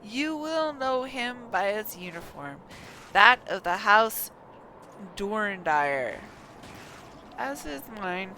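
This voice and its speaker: Woman acting a curt, loud, haughty knight commander. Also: mid-range voice, sing-song, takes it slowly, slight background noise, heavily acted. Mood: grudging, commanding. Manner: brusque, imperious, impatient. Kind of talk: reading video game dialogue aloud.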